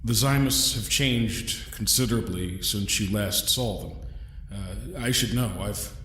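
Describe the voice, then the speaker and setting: Man playing a slow-talking, folksy adventurer. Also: lowish voice, a bit bright, reverberant. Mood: wry, apologetic. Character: trapped male adventurer, folksy, polite, wry and unflappable. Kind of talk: audio drama acting.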